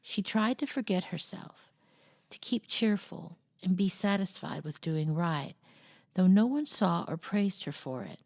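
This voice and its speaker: A woman reading a classic novel aloud as expressive storybook narration. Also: on the low side, unhurried, vocal fry, dry recording. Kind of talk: expressive scripted audiobook narration.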